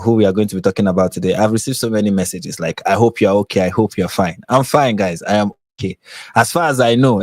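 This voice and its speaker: A man's voice: fairly low pitch, darkish tone, no room sound. A man with a Nigerian accent, hosting a casual live stream and laughing often as he chats. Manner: laughs often, chatty and playful. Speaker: host.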